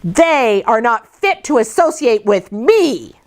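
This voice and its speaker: A woman's voice: on the high side, very animated, slow pace, a little mellow, voice pushed up. A woman voicing a passionate, indignant young orphan girl in a storybook, speaking with emphatic accusation. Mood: indignant outburst. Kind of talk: storybook character dialogue.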